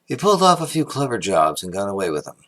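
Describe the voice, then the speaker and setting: An actor playing a blustery, earnest and slightly slow-witted police inspector, brusque and emphatic, in old-time radio mystery style. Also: male voice, fairly low pitch, sing-song, fairly bright tone. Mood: explaining.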